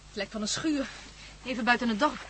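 A woman's voice: a little high, a little fast, fairly bright tone, a little hiss or hum, close to an everyday voice. A woman playing an anxious, alert wife in a radio play. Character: anxious, alert wife searching for her captured husband.

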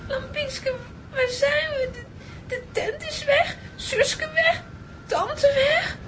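A woman playing an excitable, bossy, easily alarmed cartoon character in a children's audio drama. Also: very high voice, slow, slight background noise. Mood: whining, panicked.

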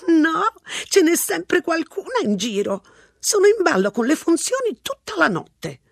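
Shrill, slow chatty old woman. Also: big pitch swings, creaky, a bit bright, no room sound, clean studio sound, full character voice. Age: older. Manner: chatty, rambling, unhurried. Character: scruffy garrulous old woman. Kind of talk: audiobook narration.

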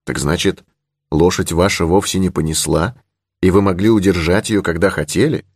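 A man's voice: sits low, moderate pace, husky, no echo, studio quality. A man voicing a courteous, cautious young horseman protecting a lady in an adventure audiobook. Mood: courteous, curious. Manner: courteous, earnest. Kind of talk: audiobook character in adventure novel.

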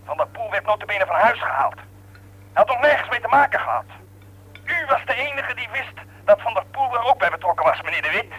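An actor playing a defiant young worker in a radio drama, accusing and sarcastic, bitter at being betrayed. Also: male voice, very high voice, animated, brisk, pushed and bright, husky, heavily acted, poor audio.

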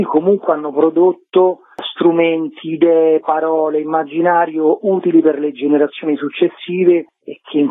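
A man's voice: a little high, dry recording. A man being interviewed as a guest, explaining a project in an earnest, articulate, wordy way over a phone line. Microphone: telephone. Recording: thin band-limited phone line on radio.

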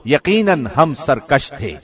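A man reads a translation of scripture in a formal, scripted style. His voice sits somewhat high, and he speaks with solemn, measured, carefully articulated phrasing. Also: sing-song, fairly bright tone, slightly rough. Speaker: translation reader, professional radio host.

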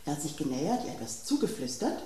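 A woman's voice: low-pitched, sing-song, fast talker, dark tone, reverberant, a little hiss or hum. An actress voicing a flustered female sauce boat who tells things in a fussy rush, in a radio play.

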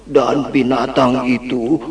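A woman voicing a wise, gentle old grandfather of the forest who speaks slowly in a half-sung, drawn-out way. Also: rumbling low, little pitch movement, pitched below the performer's own voice, heavily acted. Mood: solemn. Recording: echoey, from far off the mic.

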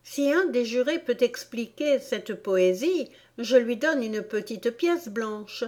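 A woman's voice: on the high side, expressive, slow, a little mellow, pitched above the performer's own voice. A woman voicing a bold, cheeky, outspoken girl in a storybook, frank and defiant. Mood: sceptical.

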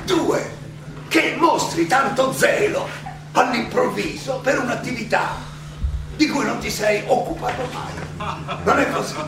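An actor playing a defiant, ironic old philosopher defending himself at trial, in a theatrical monologue full of rhetorical questions and dramatic pauses. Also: male voice, very high voice, expressive, over music, full character voice. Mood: taunting, challenging. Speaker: stage actor. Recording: echoey, distant, noisy stage recording.

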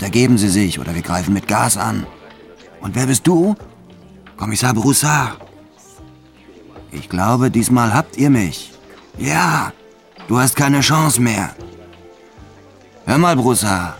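A man acting out a standoff between a cornered gangster and a police commissioner in a radio drama, calling out loudly with a strained, challenging delivery. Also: on the low side, animated, unhurried, vocal fry, long pauses, faint music behind, voice pushed up. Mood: defiant, loud. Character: cornered male gangster and police commissioner shouting through a door. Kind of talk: acted radio drama dialogue.

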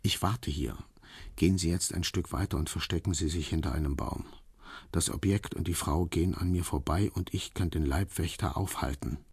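A cool operative in a radio thriller. His very deep voice is husky and full of gravel. He gives terse, businesslike orders with quiet command. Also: moderate pace, no room sound, full character voice. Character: cool, commanding Russian undercover operative leading an ambush. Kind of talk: radio crime drama acting.

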